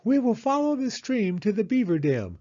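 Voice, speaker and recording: A man voicing an eager, self-assured owl in a children's storybook, with hooting, drawn-out exclamations. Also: sits high, big pitch swings, darkish tone, lo-fi sound, voice pushed up. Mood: excited.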